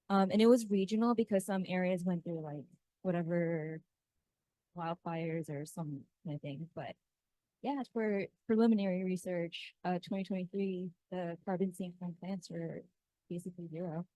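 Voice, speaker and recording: A woman's voice: fairly low pitch, steady tone, moderate pace, mellow, no echo, poor audio. A woman from the audience making a casual, hesitant comment in a Q&A, with filler words like "like.". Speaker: audience member.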